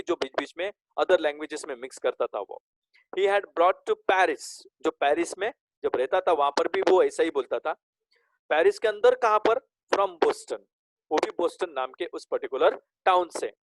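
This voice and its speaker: धीमे बोलने वाला पुरुष शिक्षक, सूखी आवाज़. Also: male voice, high voice, dry recording, poor audio. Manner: slow, drawn-out reading of English lines, then explains in Hindi; checks in with frequent "okay?". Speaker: English teacher. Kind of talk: recorded class lesson explaining a text.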